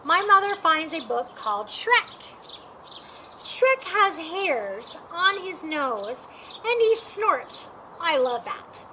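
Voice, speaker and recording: A woman reading a children's picture book aloud in an expressive, deliberate way. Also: very high-pitched. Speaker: storytime reader. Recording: clearly noisy, with birdsong behind. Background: birds chirping.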